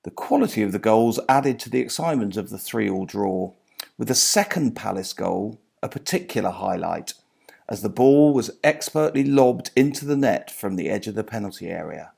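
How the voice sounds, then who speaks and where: A middle-aged or older man reading aloud from a book as its author, measured and phrase by phrase. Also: fairly low pitch, expressive, vocal fry, a little mellow, husky. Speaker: author and sports journalist reading own book.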